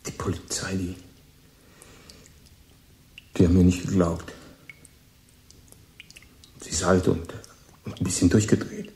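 A troubled teenage boy in a radio play, with a low, dark voice. He is gentle, earnest and halting, heard in a roomy, echoing space. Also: flat delivery. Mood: subdued, halting. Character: troubled, gentle sixteen-year-old boy confessing a killing. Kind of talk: German radio play acting. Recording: echoing, roomy studio scene.

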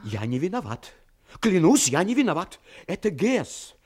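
An actor playing a frightened, fawning coward in an audio play, frantic and trembling, with a husky voice. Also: male voice, high voice, sing-song, unhurried, a little mellow, full character voice. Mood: frantic, pleading. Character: cowardly, grovelling, frightened man pleading innocence.

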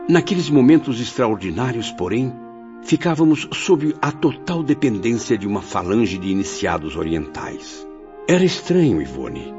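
A reflective spirit narrator played by a man in a radio drama, recalling the past in earnest, literary phrases. The low voice rises and falls expressively over clear background music, in a slightly dull recording. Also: big pitch swings, moderate pace, lightly acted. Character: reminiscing male spirit narrator, former suicide, reflective. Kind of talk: dramatised first-person narration.

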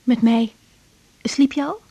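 A woman's voice: a little high, slow, dark tone, breathy edge, heavily acted. An actress playing a troubled young woman doctor, anxious yet affectionate, in an intimate, naturalistic 1980s radio drama. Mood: concerned, reassuring. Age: young adult. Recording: 1980s radio drama, faint background noise.

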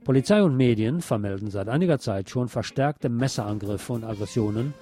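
A man's voice: medium pitch, lively intonation, fairly quick, over music. A man presenting local news on the radio in a scripted reading style.

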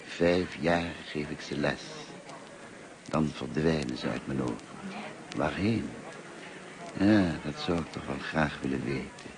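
Deep-voiced, kindly older schoolmaster. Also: male voice, a little slow, long pauses, a crowd in the background. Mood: wistful, fond. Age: middle-aged. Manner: courteous, musing, hesitant and self-effacing. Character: kindly, talkative, lonely grey-haired male French teacher. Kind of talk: Dutch radio play acting. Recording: noisy old radio play recording.

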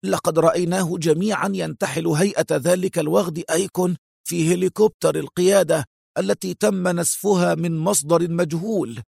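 A man's voice: sits high, brisk, vocal fry, a little mellow, dry recording, studio quality, voice pushed up, heavily acted. A man voicing a tense, snappish male intelligence operative with an urgent manner, in a dramatised audiobook.

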